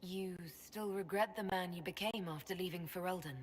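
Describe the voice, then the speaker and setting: An actress playing a curious female inquisitor in a video game, asking questions in a soft, inquiring manner. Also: fairly low pitch, normal speed, long reverb tail, a little hiss or hum, lightly acted.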